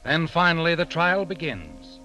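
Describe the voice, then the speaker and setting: An actor narrating an old-time radio thriller in a suspenseful, pointed manner, addressing the listener directly. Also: male voice, a little high, big pitch swings, unhurried, bright, forceful tone, full character voice, low-quality recording. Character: second-person radio narrator, insistent voice addressing Joseph. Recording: old radio recording with music behind.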